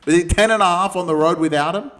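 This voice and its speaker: A man's voice: high voice, quick pace, a bit bright. A man co-hosting a sports podcast, giving opinionated tips in casual, slangy banter.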